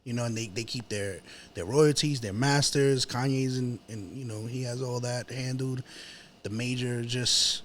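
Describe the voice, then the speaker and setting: A man's voice: mid-range voice, fairly even intonation, a little slow, fairly bright tone, slight background noise. New man, casual slangy rapper talk. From New York City, Manhattan. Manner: slangy, informal, often swearing. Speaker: rapper, podcast guest. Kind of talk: casual chat.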